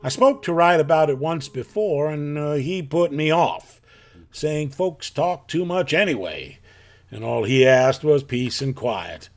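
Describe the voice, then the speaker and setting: A slow-talking folksy farmer. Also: male voice, on the high side. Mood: rambling, earnest. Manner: folksy, hesitant, halting storytelling. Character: plain-spoken rural farmer, a witness recounting events. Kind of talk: live audio stage drama.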